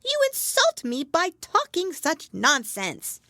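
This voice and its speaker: A woman's voice: sits high, huge pitch swings, slow pace, no room sound, pitched above the performer's own voice, exaggerated voice. A woman voicing a touchy, melancholy storybook mouse with a severe, indignant manner. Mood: offended, angry. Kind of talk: children's storybook character voice.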